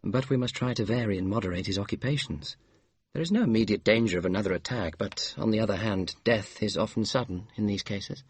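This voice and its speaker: A man voicing a calm, honest young doctor with a brisk, measured bedside manner. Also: fairly low pitch, husky, dry recording, voice pushed up.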